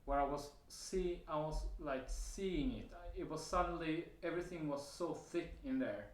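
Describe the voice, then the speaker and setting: A man's voice: on the high side, moderate pace. A man with a Swedish accent sharing a personal experience to camera, hesitant and full of fillers and restarts. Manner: hesitant, many fillers and restarts, occasional soft laughs. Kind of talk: casual personal testimony to camera. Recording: roomy echo.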